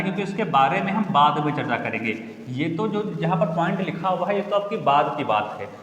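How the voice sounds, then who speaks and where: Echoey, high-voiced Hindi exam tutor. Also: male voice. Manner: repeats points as questions to the class. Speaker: exam-prep teacher. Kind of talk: repetitive classroom-style explanation. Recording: echoey room with long reverb, faint ambience.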